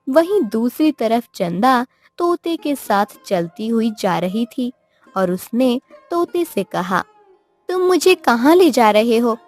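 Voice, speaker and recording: A woman's voice: a little high, a little mellow. A woman narrating a scripted children's cartoon story as storyteller.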